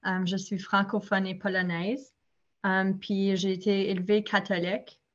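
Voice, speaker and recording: A woman's voice: medium pitch, little pitch movement, a little slow, dull audio. Young woman, plain voice. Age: 20s. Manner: plain, straightforward, with fillers. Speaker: nurse, interview guest. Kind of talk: prayer reading and interview answers.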